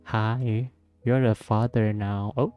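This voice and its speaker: A man giving casual, exclamatory gameplay reactions, full of drawn-out interjections. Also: fairly low pitch, fairly even intonation, clean tone, muffled recording, faint music behind. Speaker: gaming YouTuber. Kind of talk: casual playthrough reaction commentary.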